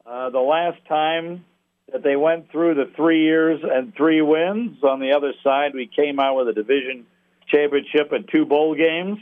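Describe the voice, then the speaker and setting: A middle-aged man, a sports announcer, talks as an interview guest in a halting, wry, conversational way. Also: on the high side. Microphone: telephone. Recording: tinny phone line.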